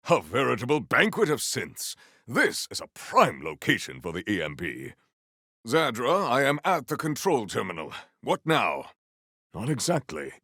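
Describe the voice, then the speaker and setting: Game warrior-poet: battle-eager, lofty formal diction, gravelly edge, very dry close sound. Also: male voice, lowish voice, fairly bright tone, no room sound, full character voice. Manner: grandiose, formal, theatrical diction. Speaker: video game voice actor. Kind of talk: scripted game character lines.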